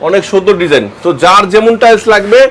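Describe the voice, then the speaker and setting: Male vlog host, brisk, fairly high voice, cheerful showroom walkthrough. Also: fairly bright tone. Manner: upbeat, asks short questions. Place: tile showroom.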